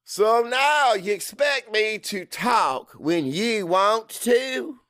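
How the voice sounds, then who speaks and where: A man's voice: sits high, lively intonation, drawn-out words, fairly bright tone, no room sound, studio quality, voice pushed up, full character voice. A man voicing a brooding, resentful husband in an audiobook drama, with a strained, self-justifying manner. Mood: indignant. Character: brooding, resentful husband grieving his father.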